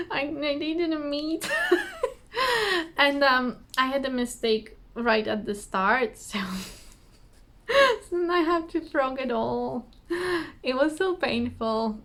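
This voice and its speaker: A woman with a slightly hoarse voice, chatting casually to camera at home in a rambling, giggly, self-deprecating way. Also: sits high, animated, drawn-out words, long pauses, fairly bright tone. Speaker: cross-stitch vlogger. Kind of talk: casual chatty craft vlog. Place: indoors at home, trees outside behind her.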